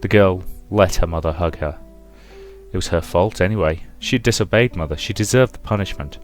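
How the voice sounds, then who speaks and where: Man, lowish pitch, let's play host, dramatic story narration, soft guitar music behind.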